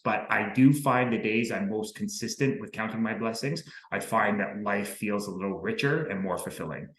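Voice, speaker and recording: A man hosts a podcast in a lowish voice, earnest and upbeat. He leans on motivational sayings. The sound has a roomy echo. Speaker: podcast host.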